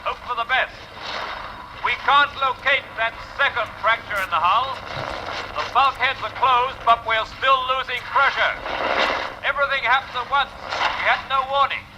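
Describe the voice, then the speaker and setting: Desperate, very high-pitched pilot. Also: male voice. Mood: strained, desperate. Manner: strained, halting, terse reporting. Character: stranded, desperate male spaceship pilot reporting disaster. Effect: radio transmission through interference. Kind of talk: 1950s BBC radio drama acting. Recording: noisy, mushy taped radio message.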